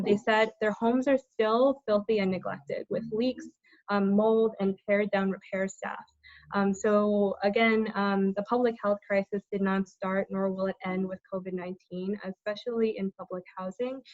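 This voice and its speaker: A young American woman speaks on a group video call, pausing often on ums and uhs as she finds her words. Her delivery stays level with little rise and fall. The audio is dull and dry, typical of a conferencing line. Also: medium pitch, darkish tone, no room sound. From United States. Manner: hesitant, many ums and uhs. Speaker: tenant organizer.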